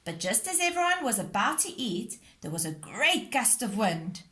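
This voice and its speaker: A woman's voice: on the high side, sing-song, moderate pace, sharp tone. A woman reading a scripted children's story aloud as narrator. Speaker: storybook reader.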